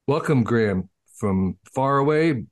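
A man's voice: mid-range voice, lively intonation, measured pace. A man hosting an interview, curious, summarizing what his guest shows. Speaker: host. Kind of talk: casual interview.

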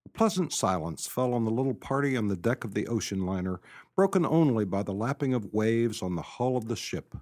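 A man's voice: fairly low pitch, expressive, brisk, darkish tone. A man reading scripted narration and announcements as the narrator of a radio theatre show. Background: music.

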